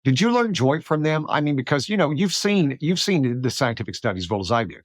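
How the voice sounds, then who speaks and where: A man's voice: on the high side, sing-song, fairly quick, no echo, clean studio sound. A man from Alabama hosting a podcast interview, chatty and eager, often stumbling and restarting his questions. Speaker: podcast host.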